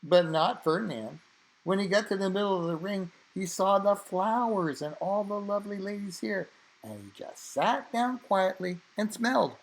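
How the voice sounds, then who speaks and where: A man reading a children's story aloud, expressively, with dramatic emphasis and drawn-out phrases. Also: sits high, expressive, low-quality recording.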